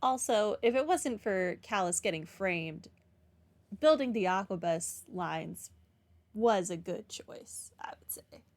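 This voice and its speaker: A woman's voice: medium pitch, lively intonation, takes it slowly. A woman doing a game playthrough, reading text aloud and adding casual comments. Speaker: gameplay streamer.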